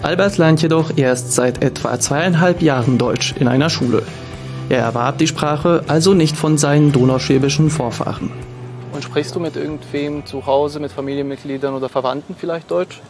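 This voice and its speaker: A man's voice: a little high, steady tone, normal speed, over music. A man working as a television interviewer, speaking in a conversational broadcast style.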